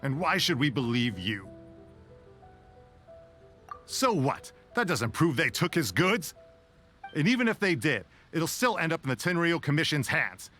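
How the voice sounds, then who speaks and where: Brief: a curt skeptical man, bright edgy tone, lively pitch. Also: unhurried, long pauses, soft background music, exaggerated voice. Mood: scornful. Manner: dismissive and curt. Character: skeptical suspicious male merchant, sharp-tongued. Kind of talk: game voice acting.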